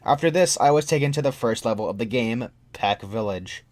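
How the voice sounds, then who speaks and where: A man's voice: medium pitch, brisk. A man reading a scripted first-person creepypasta story as its narrator. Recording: music under the narration.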